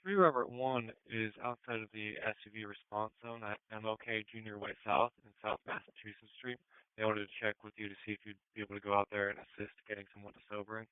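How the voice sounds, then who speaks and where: A man's voice: on the low side, little pitch movement, fast talker, smooth, no room sound. A man working as a police dispatcher, businesslike and procedural over a two-way radio. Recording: dry phone-like scanner radio.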